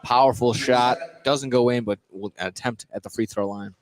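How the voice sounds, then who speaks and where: A man giving casual, conversational color commentary at a live basketball game, with halting phrasing. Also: medium pitch, fairly even intonation. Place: indoor high school basketball gym, courtside. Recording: live gym broadcast, crowd noise behind. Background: clear crowd noise.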